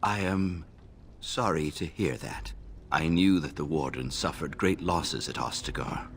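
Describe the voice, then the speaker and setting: An actor playing a courteous, grave nobleman in a video game, speaking in a measured, formal way. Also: a bass voice, creaky, fairly bright tone, exaggerated voice. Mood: courteous, sombre. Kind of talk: video game character acting.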